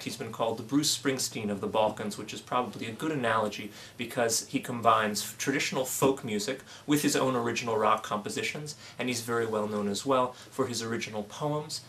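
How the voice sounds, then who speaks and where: A man hosting an interview, reading a formal, measured introduction and then asking polite questions. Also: medium pitch, fairly bright tone, slight background noise. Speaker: interviewer, author.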